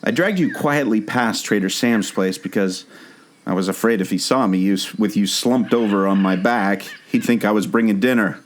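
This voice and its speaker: Casting: earnest jungle-guide turned amateur detective , played by a man, slightly deep voice, storytelling delivery for radio theater. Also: normal speed, slight background noise, lightly acted. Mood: explaining. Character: earnest, obsessive amateur sleuth, male former jungle skipper. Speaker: podcast co-host. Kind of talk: scripted audio drama dialogue.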